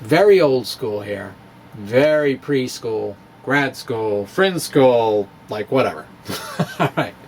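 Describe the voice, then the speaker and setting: Male physics blogger, slow and animated, wry humour, slight hiss behind. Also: medium pitch, rough recording. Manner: wry, playful, laughs at his own jokes. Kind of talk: dramatic reading of a blog lesson.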